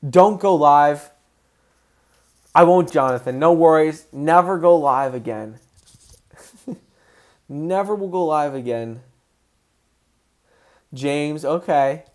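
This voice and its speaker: A man hosting a casual livestream, excitable and answering viewers' chat questions as he goes. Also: on the high side, slow, pauses often. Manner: excitable, voice rises when passionate.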